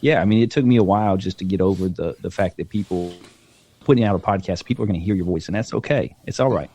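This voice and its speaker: Deep-voiced relaxed man on a podcast mic. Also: fast talker, husky, no echo. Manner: relaxed storytelling, laughs often. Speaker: podcast guest. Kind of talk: casual podcast chat. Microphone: close podcast mic.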